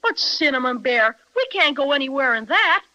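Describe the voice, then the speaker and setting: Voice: sits high, big pitch swings, normal speed, sharp tone, dull audio, heavily acted. An actor playing a plucky, wide-eyed little boy in a 1930s children's radio serial, earnest and full of old-fashioned exclamations. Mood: amazed. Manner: earnest, exclaiming, folksy slang.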